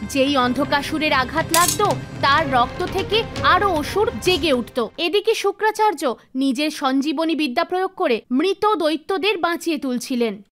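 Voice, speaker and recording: High-pitched woman storyteller over music. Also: animated, normal speed, a bit bright. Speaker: narrator. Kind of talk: scripted storytelling narration for animated mythology.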